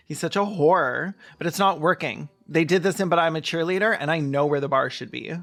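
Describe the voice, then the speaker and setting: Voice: medium pitch, a little fast, fairly bright tone, faint music behind. A host giving comedic reaction commentary on a film in a campy, sarcastic way.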